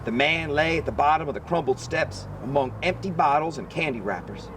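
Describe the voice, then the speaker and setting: A man reading a children's picture book aloud as an expressive narrator. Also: a little high, a little fast, noisy background. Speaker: story reader.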